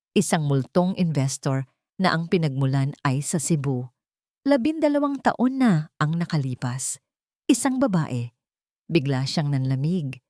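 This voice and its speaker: A woman's voice: low-pitched, a little mellow, no room sound, studio quality. A woman reading a scripted dramatic story with suspenseful, emphatic delivery.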